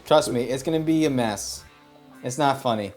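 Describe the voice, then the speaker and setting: A man's voice: medium pitch, moderate pace, darkish tone, faint music behind. A man in casual chat with his co-hosts, talking in a self-deprecating way. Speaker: show host.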